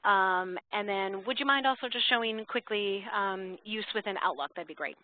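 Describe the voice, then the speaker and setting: A woman hosting an online software presentation, speaking informally to her co-presenter. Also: mid-range voice, moderate pace, phone-quality sound. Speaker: presentation host.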